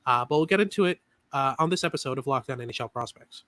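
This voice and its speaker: A man co-hosting a sports podcast, talking through analysis in a quick, filler-heavy way with many restarts. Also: mid-range voice, lively intonation, a bit bright. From Canada. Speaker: podcast co-host.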